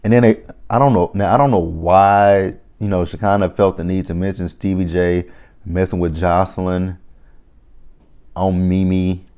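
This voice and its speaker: A man in his thirties with a deep, low-pitched voice talks through a reality show episode in a loose, conversational way. He is blunt and opinionated and swears freely. He often stops and restarts his sentences as he thinks aloud. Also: steady tone, normal speed, dark tone, clear, resonant tone, dull audio. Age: 30s. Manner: rambling with frequent restarts. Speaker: reality TV reviewer. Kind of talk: casual recap commentary.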